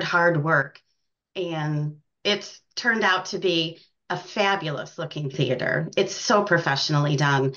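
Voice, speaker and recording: Woman, American accent, lower pitch, casual interview guest, muffled lo-fi recording. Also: a bit bright. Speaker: guest, community theater co-director and actress. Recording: dull, lo-fi sound.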